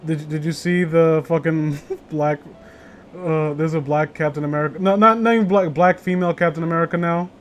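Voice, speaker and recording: Guy with kinda high voice reading stories with friends, crude teasing jokes, some background noise. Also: steady tone, a little fast. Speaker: host reading horror stories aloud with friends. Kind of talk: casual joking group read-along.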